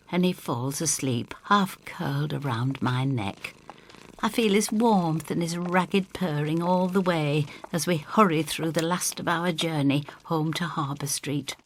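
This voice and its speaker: An older woman reading scripted dramatic narration in the first person, measured, dropping into hushed asides. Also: low-pitched, lively intonation, slight background noise.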